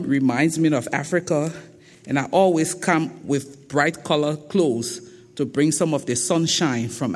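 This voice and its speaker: A woman with a thick Liberian accent giving a public lecture in a slow, deliberate and emphatic way. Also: sits low. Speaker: guest speaker. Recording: long reverb of a lecture hall.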